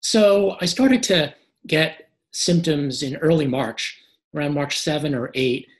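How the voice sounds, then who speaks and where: Male interview guest; hoarse and a little short of breath, measured answers with many fillers. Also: a little high.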